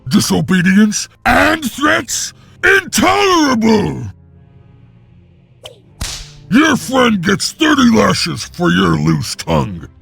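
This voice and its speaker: Gravelly, swooping, cruel slave driver. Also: male voice, high voice, sing-song, slow pace, pauses often, a bit bright, exaggerated voice. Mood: outraged. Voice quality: gravelly, husky. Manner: menacing, swooping between sneer and bellow. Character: cruel bullying slave-driver overseer, male, scheming and threatening. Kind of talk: video game cutscene acting. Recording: music behind.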